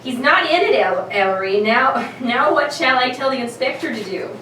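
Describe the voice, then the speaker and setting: A woman's voice: high voice, animated, moderate pace, bright, forceful tone. A woman playing a perky, cheeky young detective's assistant in an amateur comedic radio play, giggly and stumbling over her lines. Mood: cheeky, flustered. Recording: echoey room, distant mics, noisy.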